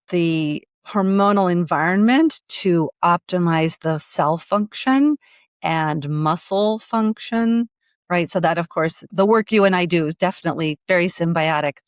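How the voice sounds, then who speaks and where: A woman's voice: on the low side, clear, resonant tone, no echo, clean studio sound. A woman physician hosting a podcast interview, reflective and searching aloud for the right words.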